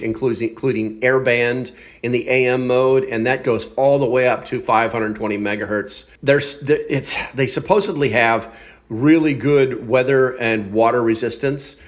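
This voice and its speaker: Blunt, informal man reviewing gear. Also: mid-range voice. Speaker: product reviewer and channel host. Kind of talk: casual hands-on gear review.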